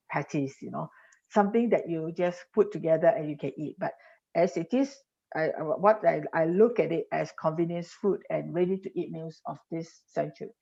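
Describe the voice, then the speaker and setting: A woman in her forties talks through a podcast interview, laying out example after example and restarting her sentences as she goes. Her fairly low voice has a gravelly edge and a mellow, dark tone. The audio is dull and lo-fi. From Singapore. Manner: explanatory, stacks examples, frequent restarts. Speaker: industry guest.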